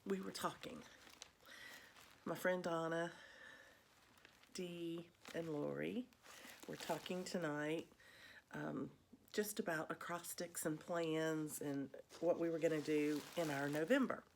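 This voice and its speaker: A woman's voice: sits low, fairly even intonation, slow pace, vocal fry, long pauses, a little hiss or hum. A woman chatting casually to viewers as a vlog host, in a rambling way, with a slightly congested, froggy voice. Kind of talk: casual chat to viewers about cross stitch.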